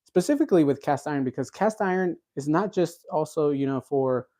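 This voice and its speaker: A man's voice: on the high side, lively intonation, brisk, warm tone. Rambling man hosting a cooking livestream. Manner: rambling, many fillers and restarts. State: recovering from a cold. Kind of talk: casual livestream chat reading comments.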